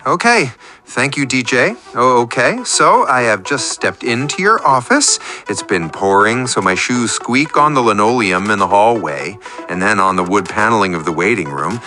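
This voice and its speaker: A man acts out a self-pitying, overlooked radio caller in a scripted comic radio play. His voice is bright and swings widely in pitch as he confesses in wordy, theatrical detail, with music underneath. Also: expressive, normal speed. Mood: absorbed storytelling.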